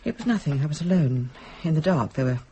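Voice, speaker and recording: An actress playing a sharp-tongued married woman, frightened and defensively rationalising, with a husky voice in a dramatic audio-play delivery. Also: sits low, animated, fast, slight background noise. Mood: haunted, fearful. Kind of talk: stage-style audio drama acting.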